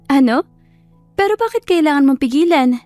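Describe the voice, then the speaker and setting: A sweet and determined young woman in an audio romance, played by a woman narrator. Her high voice is affectionate and emphatic. It sounds dry and close, with light music behind it. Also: expressive, moderate pace, darkish tone, no room sound. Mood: insistent. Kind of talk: dramatized audio love story.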